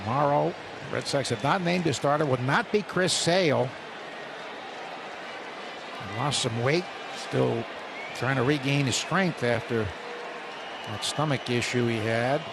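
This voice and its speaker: A man calling live baseball play-by-play on the radio, building up on big plays and reading stats between pitches. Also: measured pace, gravelly, long pauses. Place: stadium broadcast booth. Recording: radio broadcast with loud crowd noise.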